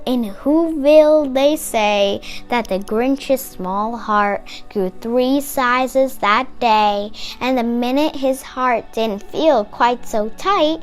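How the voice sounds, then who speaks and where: Female children's storybook reader, expressive and deliberate, very unhurried, with faint music underneath. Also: high voice. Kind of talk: expressive children's read-aloud of rhyming story.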